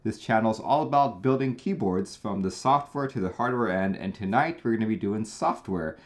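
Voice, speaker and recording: A chatty man from Taiwan hosts a casual live stream in a somewhat low voice. He talks in a loose, relaxed way and often restarts his phrases. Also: normal speed. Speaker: host.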